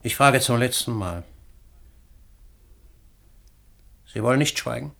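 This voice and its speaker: A man's voice: medium pitch, animated, a little fast, creaky. A man playing a guilt-ridden, grieving middle-aged academic in a German radio drama, intense and desperate in manner. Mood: grim, threatening. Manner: intense, swings from outbursts to hushed confession.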